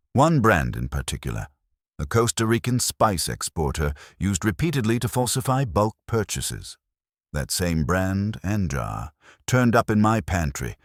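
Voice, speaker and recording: A man's voice: low voice, dry recording, clean studio sound, voice pushed up, full character voice. A man voicing a stern, pompous master detective in an audiobook, formal, weighty and accusing.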